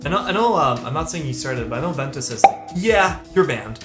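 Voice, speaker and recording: A man chatting casually on a livestream, excitable and slangy, acting out what his friends said as he tells stories. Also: medium pitch, fairly quick. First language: French speaker. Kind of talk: casual chat, animated storytelling. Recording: roomy stream audio with music behind. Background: background music.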